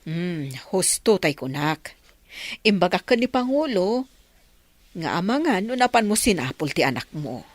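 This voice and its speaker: An actress plays a pious, forgiving mother in a radio drama. Her pitch moves expressively as she gently pleads and moralizes, in a dry recording. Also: big pitch swings, a little slow, no echo. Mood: wistful. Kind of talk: Ilocano radio drama acting.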